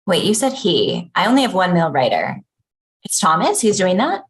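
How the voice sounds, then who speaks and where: A woman playing a cool, unbothered boss of forty in a table read of a TV pilot, curt and deadpan. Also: lowish voice, normal speed. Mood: questioning.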